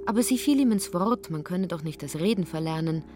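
Low-pitched female narrator over music. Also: lively intonation, brisk. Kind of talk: literary radio drama narration.

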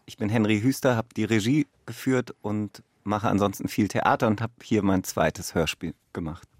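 A man's voice: fairly low pitch, moderate pace, no echo, studio quality. A man speaking as a director, explaining his view casually in an interview. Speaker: theatre and radio drama director.

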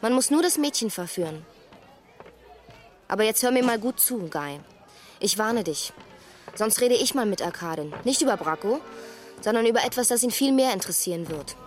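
A woman's voice: a little high, lively intonation, moderate pace, pauses often, lightly acted. An actress playing a crook's jealous, bitter young girlfriend in a dubbed 1950s thriller, reproachful and insistent. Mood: bitter warning. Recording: film soundtrack with clear music.

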